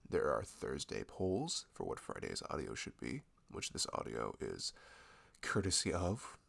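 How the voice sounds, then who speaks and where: A man gently giving a casual, laid-back introduction as an audio roleplay creator. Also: sits low, creaky, husky.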